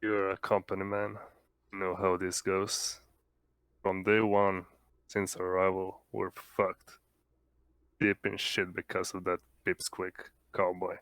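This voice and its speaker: A man playing a grim, cynical company man in a tabletop game, terse, deliberate and blunt. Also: low voice, monotone, fairly bright tone, clean tone, no room sound, full character voice. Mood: grim, resentful.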